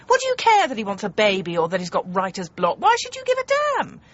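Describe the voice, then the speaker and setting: A woman's voice: mid-range voice, wildly swooping pitch, fast talker, highs cut off. A woman with a British accent acting a blunt, patronising television producer in a radio comedy drama, emphatic and cajoling. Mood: scornful, emphatic.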